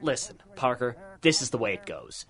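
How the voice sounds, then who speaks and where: A man's voice: medium pitch, very animated, normal speed, creaky, fairly bright tone. An actor playing a cynical, corrupt older policeman in a 1930s radio crime drama, taunting in folksy tough-guy slang. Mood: smug, taunting. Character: cynical corrupt older cop, menacing and mocking.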